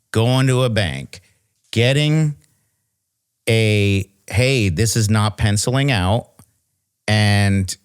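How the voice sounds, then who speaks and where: A man's voice: lowish voice, clear, resonant tone. A man co-hosting a podcast in casual chat, rambling and restarting his sentences. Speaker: podcast co-host.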